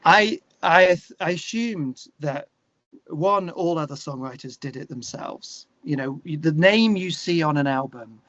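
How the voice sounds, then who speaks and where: A man talking casually in an interview, opinionated and animated, thinking aloud and correcting himself as he goes. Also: fairly high pitch, a little slow, no echo, dull audio. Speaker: interview guest, musician and songwriter.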